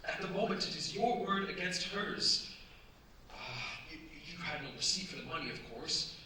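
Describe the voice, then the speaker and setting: An earnest young defence lawyer, played by a man, argues in formal, oratorical style for a radio drama. His voice is fairly high and bright, ringing through a very echoey hall. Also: expressive, moderate pace, full character voice. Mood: earnest, thoughtful. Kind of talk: staged radio play acting. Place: staged performance in a cultural centre. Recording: echoey hall, distant mic, stage noise.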